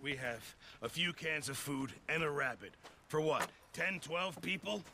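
Grumbling frontier cook, blustery, animated pitch, noisy game effects behind the lines. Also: male voice, a little slow, full character voice. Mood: worried grumbling. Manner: complaining, blustery. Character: grumbling male camp cook, blustery old navy hand. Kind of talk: video game dialogue acting.

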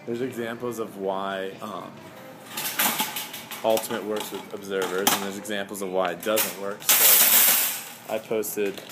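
A man's voice: lowish voice, flat delivery, measured pace, long pauses, over loud music. A young man rambling casually to a camera as he goes about errands, chatty, exclamatory and easily sidetracked. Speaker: vlogger. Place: on the go, car then grocery store. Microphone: handheld camera he sets down. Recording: clear ambient and vehicle noise.

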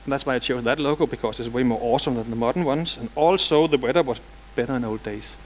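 A man's voice: mid-range voice, quick pace, a little hiss or hum. A middle-aged man from Denmark with a Danish accent, giving a technical conference talk in a large hall with dry jokes and casual asides. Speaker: conference speaker.

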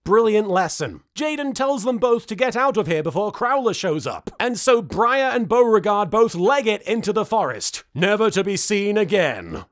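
A man's voice: high-pitched, sing-song, normal speed, hardly any pauses. A British man in his thirties giving a comedic, sarcastic and exasperated recap to camera as the host. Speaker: host, episode reviewer.

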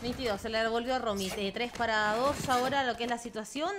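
Woman, young, Chilean accent with Argentine touches, esports caster, high voice, excitable, game noise behind. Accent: Chilean Spanish with some Argentine touches. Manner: excitable, quick bursts of exclamations. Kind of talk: live game commentary. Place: home room, indoors. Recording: clear background noise from game sounds.

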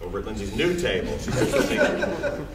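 Guy reading stage directions, low voice, far from the mic in an echoey room with loud noise. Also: huge pitch swings, normal speed. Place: conference room at a long table. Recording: roomy, distant, noisy room.

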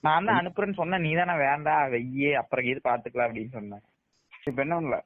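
A man's voice: mid-range voice, fast, no room sound. A young man chatting casually over the phone. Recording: tinny dry phone line.

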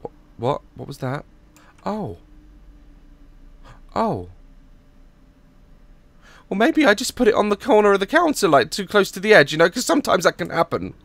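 A hoarse young man on a headset mic, nervously joking and swearing through a horror game as a gaming YouTuber. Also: high voice, big pitch swings, normal speed, pauses often, a bit bright, no echo. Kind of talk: horror game let's play commentary.

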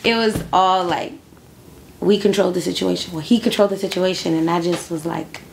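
A woman's voice: lowish voice, steady tone, brisk, gravelly, slight background noise, poor audio. A woman in her twenties telling a story casually as an interview guest, emphatic and repeating phrases for effect. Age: 20s.

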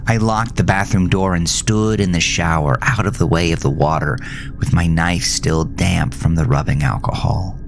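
A man narrating a scripted first-person horror story in a measured, dramatic way with pauses. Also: sits low, fairly even intonation, creaky, background noise. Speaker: host and author reading own horror story.

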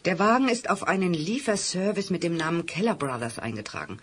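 An actress playing a matter-of-fact technician in an audio drama, with a husky voice. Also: female voice, lowish voice, lively intonation, brisk, a little hiss or hum, natural voice. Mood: businesslike.

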